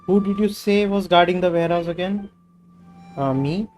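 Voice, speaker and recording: A man's voice: high-pitched, moderate pace, a little mellow, soft background music, voice pushed up, lightly acted. A man with an Indian accent voicing an evasive female adventurer full of excuses, reading game dialogue aloud. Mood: defensive, sheepish.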